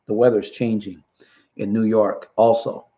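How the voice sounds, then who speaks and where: A man's voice: lowish voice, level tone, unhurried, warm tone. Earnest middle-aged man, dull webcam sound. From Puerto Rico, raised in Connecticut. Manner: earnest, repetitive, preacher-like emphasis. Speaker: livestream host and recovery coach. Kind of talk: live-stream motivational talk reading chat.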